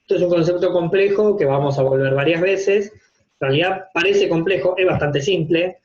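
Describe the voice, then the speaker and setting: A man's voice: high voice, normal speed, darkish tone. A man with an Argentine accent giving an informal online university lecture from a home office, explaining step by step with everyday examples and rhetorical questions. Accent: Argentine Spanish. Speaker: university psychopathology teacher. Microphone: video call. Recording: dull, lo-fi video-call audio.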